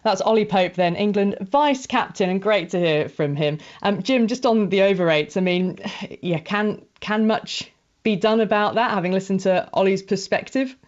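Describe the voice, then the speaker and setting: A slightly muffled, band-limited recording carries a woman presenting a sports talk show. Her pitch is mid-range, and she interviews in a conversational, chatty way with long linked questions. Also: moderate pace.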